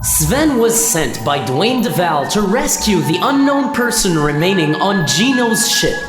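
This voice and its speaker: A woman's voice: mid-range voice, sing-song, a little slow. Echoey, reverberant woman narrating radio drama. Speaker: narrator and announcer. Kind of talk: scripted science fiction radio play narration. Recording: echoey long reverb over music.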